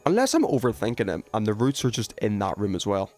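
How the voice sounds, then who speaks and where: Male game streamer, very lively intonation, loose slangy chat, thinks out loud, music behind. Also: on the low side, quick pace. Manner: thinking aloud, self-questioning, trailing off; informal slangy speech. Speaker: game livestreamer. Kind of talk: casual livestream gameplay chat.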